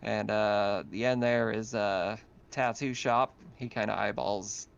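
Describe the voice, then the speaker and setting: A man's voice: lowish voice, steady tone, takes it slowly, creaky, smooth. A man working as game master, informally narrating a tabletop roleplaying game over an online call. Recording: slightly dull online voice-call audio.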